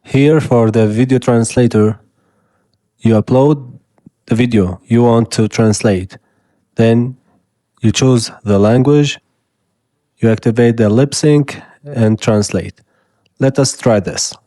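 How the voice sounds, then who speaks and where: A man whose first language is Arabic, hosting a software tutorial and calmly explaining each step as he clicks through it. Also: mid-range voice, takes it slowly, pauses often, no echo, clean studio sound. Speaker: tutorial host. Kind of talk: screen-recorded software tutorial.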